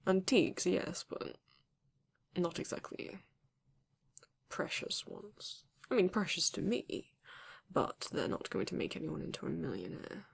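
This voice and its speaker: A woman playing a flirty, courteous vampire in an ASMR romance roleplay, breathy and often dropping to a whisper, with genteel, teasing phrasing. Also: mid-range voice, very animated, full character voice. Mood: curious, questioning. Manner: slow, genteel, teasing, old-fashioned wording. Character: flirty, courteous vampire living alone in a castle.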